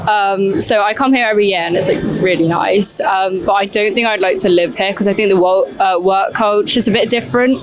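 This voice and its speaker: A teenage girl from England, answering interview questions in a relaxed, chatty way with frequent "um" and "like.". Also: medium pitch, a little fast, few pauses, background noise. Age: teens. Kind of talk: casual chat.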